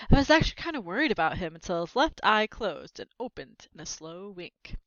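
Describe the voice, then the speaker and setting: A woman's voice: medium pitch, a bit bright, no room sound, dull audio. A woman reading a children's book aloud expressively, breaking in with casual, plain asides to explain the story. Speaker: read-aloud narrator with commentary.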